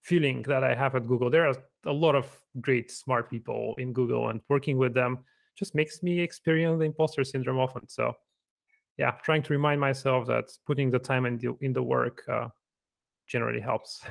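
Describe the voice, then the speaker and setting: Soft-spoken Bulgarian-accented man. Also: mid-range voice, fairly even intonation, normal speed, gravelly. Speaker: guest software engineer. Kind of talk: casual video-call interview. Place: living room at home. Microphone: desk microphone on video call.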